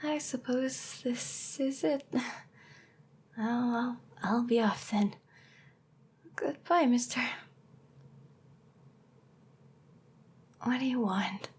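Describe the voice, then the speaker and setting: A woman voicing a young, clingy, lovesick harpy girl in an anime ASMR roleplay, with a breathy, often whispery voice and a hesitant, earnest delivery. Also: on the high side, takes it slowly, pauses often, a little mellow, heavily acted. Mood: dejected, resigned. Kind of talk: anime ASMR roleplay acting, intimate address to listener.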